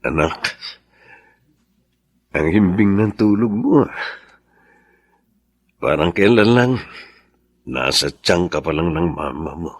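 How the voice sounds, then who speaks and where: An actor with a Filipino accent playing a tender, patient father and husband in a melodrama, with a husky voice. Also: low voice, expressive, slow, pauses often, no echo, exaggerated voice. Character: tender, patient young father and uniformed serviceman. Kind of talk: Tagalog melodrama acting.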